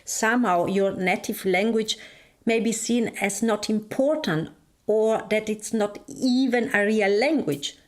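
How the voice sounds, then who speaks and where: A woman interviewer asking questions in a measured way. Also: mid-range voice.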